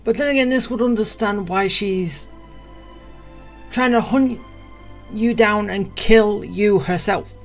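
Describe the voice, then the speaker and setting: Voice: mid-range voice, slow, pauses often. A streamer giving casual playthrough commentary in musing, fragmented remarks. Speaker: game streamer reacting to a story. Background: game music.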